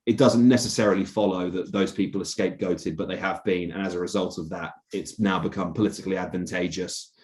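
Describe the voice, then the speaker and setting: A man's voice: low voice, steady tone, fast talker, hardly any pauses, darkish tone. A young British-raised man, a journalist, talking earnestly in a casual podcast interview with many restarts and fillers.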